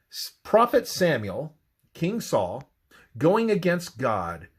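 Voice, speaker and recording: A man reading a scripted religious narration in a measured, expressive manner. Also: on the high side, husky.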